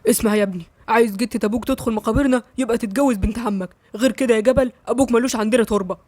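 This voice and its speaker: A woman gives voice to an old patriarch who scolds and commands. The words come fast and flat-out, with no room around them, as in a close-miked audiobook. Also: on the high side, no room sound, lightly acted. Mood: stern. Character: stern old male village patriarch, domineering.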